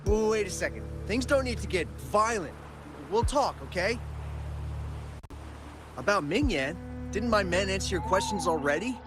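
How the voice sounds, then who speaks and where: A man voicing a glib, cowardly and defensive gang leader in a video game, theatrical and quick to swing between bluster and appeasement. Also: sits high, lively intonation. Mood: placating. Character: shifty male gang leader posing as an exile, cowardly and defensive. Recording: loud game music underneath.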